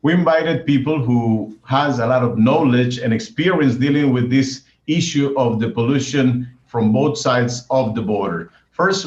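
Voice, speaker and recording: A man with a Mexican accent and a mid-range voice introduces an event as co-host. He sounds like he is in an echoey room on a video call, with dull, muffled sound. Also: medium pitch. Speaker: news editor co-hosting a town hall.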